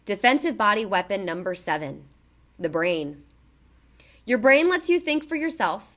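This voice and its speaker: A woman reading a children's book aloud in an expressive, emphatic way, as a narrator for young listeners. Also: mid-range voice, normal speed.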